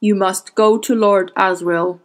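A woman's voice: medium pitch, steady tone, a little slow, close to an everyday voice. A woman voicing a haggard, stubborn male shaman in a story, giving urgent, solemn instructions. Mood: solemn urgency. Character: haggard, grey-haired dying male shaman, stubborn, commanding.

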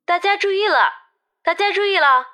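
A woman playing a lively tour guide who tells an island legend to travelers in an expressive, emphatic audio-novel style. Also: fairly high pitch, unhurried, heavily acted. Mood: loud, emphatic. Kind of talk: dramatized storytelling in an audio novel.

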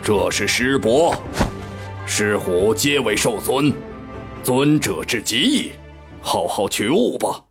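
A man's voice: on the low side, sing-song, vocal fry, full character voice. A man playing a hearty, domineering lion demon king in a fantasy audio drama, boisterous and swaggering. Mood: grudging, lofty. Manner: boisterous, swaggering, drawn-out delivery. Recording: music behind.

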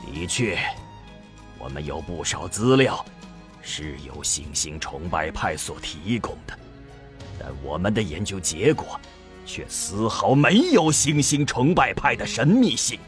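A man's voice: low voice, sing-song, unhurried. A man playing a proud, angry old astronomer and university president in a theatrical, emphatic radio drama style. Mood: scornful, earnest. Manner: theatrical, indignant, emphatic delivery. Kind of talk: Chinese radio drama acting. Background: music.

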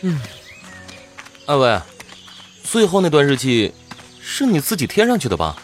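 Low-voiced, playful young adventurer guy. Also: huge pitch swings, measured pace, soft background music, full character voice. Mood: doubtful. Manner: teasing, exclamatory, informal. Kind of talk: Chinese audio drama acting.

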